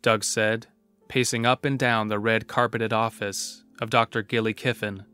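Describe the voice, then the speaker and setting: A man's voice: lowish voice, little pitch movement, normal speed, pushed and bright, clear, resonant tone. A man narrating a scripted horror story. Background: music.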